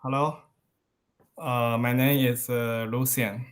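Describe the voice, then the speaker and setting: A man's voice: medium pitch, flat delivery, slow pace. A man from Taiwan giving a hesitant self-introduction in English at a meditation meeting, with many fillers and restarts. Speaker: meeting participant.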